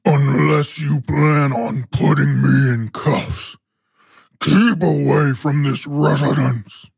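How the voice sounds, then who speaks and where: A gravel-throated, raspy male voice for a threatening audiobook hothead. He speaks slowly and with menace, in a dry, close recording. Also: fairly high pitch, fairly even intonation, a little mellow, no room sound, full character voice. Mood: angry, threatening. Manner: slow, menacing. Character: hot-headed, threatening male tough.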